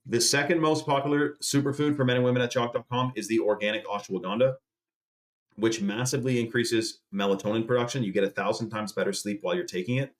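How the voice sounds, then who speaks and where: A man in his late twenties or early thirties, a channel host talking casually on a livestream while pitching a sponsor and saying dude and man a lot. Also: fairly even intonation, fast. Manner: chatty, salesy, says dude and man a lot.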